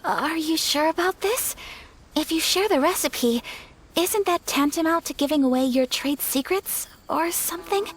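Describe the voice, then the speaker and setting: High-voiced, refined young noblewoman. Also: expressive, moderate pace. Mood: earnest, courteous. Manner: formal, courteous, composed. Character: polite, refined young noblewoman, gentle and earnest. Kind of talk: anime video game voice acting.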